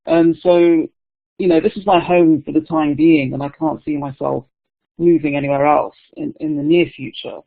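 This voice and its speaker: A woman's voice: sits low, fairly even intonation, normal speed, vocal fry. A young woman, an author, answering questions thoughtfully in an online discussion, with lots of "you know" fillers. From England, near London. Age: young adult. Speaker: author guest. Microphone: online call audio. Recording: tinny phone-like line.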